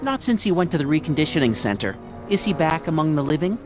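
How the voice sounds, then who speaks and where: A man's voice: a little high, very animated, quick pace, music in the background. An actor playing a meek, middle-aged American small-town father in a video game, earnest, folksy and prim. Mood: wistful. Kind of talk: animated video game dialogue acting.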